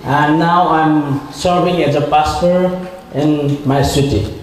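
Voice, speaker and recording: Nepali pastor, 30s, earnest and halting, higher voice, big echoey hall, handheld mic. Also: male voice, steady tone, a little hiss or hum. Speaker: visiting pastor and preacher. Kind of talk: conference sermon in English, interpreted line by line. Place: large hall stage with red curtain.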